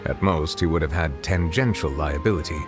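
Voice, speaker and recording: A man voicing a solemn, dignified dragon who serves as chief judge in a video game, speaking in a formal, measured, grave manner. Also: extremely low pitch. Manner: formal, measured, eloquent, reserved. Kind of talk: video game character dialogue. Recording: game dialogue over background music.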